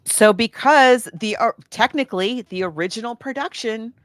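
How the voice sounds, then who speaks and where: A woman's voice: a little high, normal speed, a bit bright, dry recording. An American woman co-hosting a podcast, telling stories in a casual, conversational way and often backtracking as she goes. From United States. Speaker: podcast co-host. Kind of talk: casual book and film discussion.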